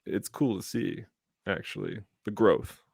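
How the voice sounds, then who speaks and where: A man in his thirties, a school teacher, chatting as a podcast co-host and telling stories at a relaxed, drawn-out pace. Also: on the low side, creaky, slightly rough, no echo, studio quality. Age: 30s. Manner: relaxed, drawn-out storytelling, switching into Spanish. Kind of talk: casual chat.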